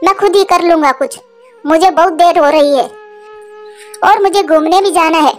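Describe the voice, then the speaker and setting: A woman's voice: very high-pitched, normal speed, soft background music. A woman voicing a spoiled, rude young male crow, demanding and cocky, in a cartoon. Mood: demanding, annoyed. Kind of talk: Hindi cartoon voice acting.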